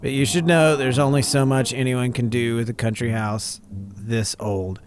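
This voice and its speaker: A man's voice: mid-range voice, fairly even intonation, moderate pace, clean tone, natural voice. A man voicing a curt, street-smart woman in a horror visual novel, dry and dismissive. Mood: curt, defensive. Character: curt, street-smart woman hosting a visitor in her decaying house.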